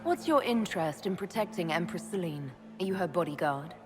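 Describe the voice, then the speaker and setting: A woman's voice: lowish voice, lively intonation, fairly quick, music in the background, natural voice. A woman playing a composed, dry-witted elf mage inquisitor in a fantasy video game, speaking directly. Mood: questioning, firm.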